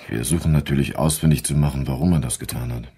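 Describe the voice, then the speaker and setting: Very deep, gravelly police inspector. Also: male voice, dark tone, heavily acted. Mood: businesslike, probing. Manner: measured, questioning, matter-of-fact. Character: male police inspector, businesslike, probing, calm. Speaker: actor in an audio drama. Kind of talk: German crime radio play acting.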